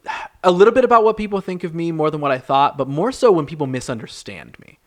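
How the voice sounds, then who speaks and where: A man's voice: on the high side, animated, fast talker. A man co-hosting a podcast, talking earnestly and reflectively in casual chat. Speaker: podcast co-host.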